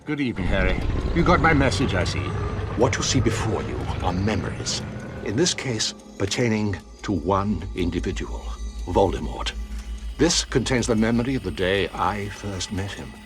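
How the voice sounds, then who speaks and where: Man acting as elderly wizard headmaster, low voice, animated intonation, formal, deliberate, grave, game cutscene with music behind. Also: lightly acted. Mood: grave, measured. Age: older. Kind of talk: measured dramatic game cutscene dialogue.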